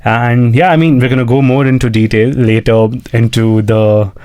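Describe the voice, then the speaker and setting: A man's voice: mid-range voice, steady tone, moderate pace, darkish tone, clear, resonant tone, dry recording. A young man from India, a guest on a casual podcast, talking in a playful, joking way with many fillers.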